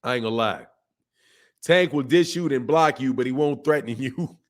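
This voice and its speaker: A hoarse-voiced man runs a boxing talk stream, chatting straight to his viewers. His pitch sits in the middle range for a man. He hammers his points with repetition and peppers his talk with "bro" and "man.". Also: normal speed. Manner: emphatic, repeats himself, calls listeners bro and man. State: hoarse, voice shot from yelling. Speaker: boxing channel host. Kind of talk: casual livestream commentary reading chat.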